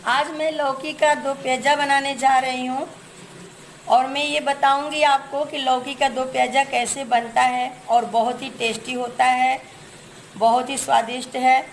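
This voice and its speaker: A woman's voice: sits high, little pitch movement, unhurried, slight background noise, poor audio. A woman, hosting a cooking channel and chatting through each step while she shows her ingredients in a home kitchen. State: hoarse from a cold.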